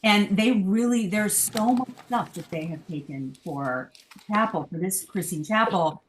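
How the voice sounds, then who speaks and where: A woman's voice: mid-range voice, measured pace, a little hiss or hum. A woman chatting casually as a guest on a podcast panel, in roomy sound. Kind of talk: casual chat, discussion.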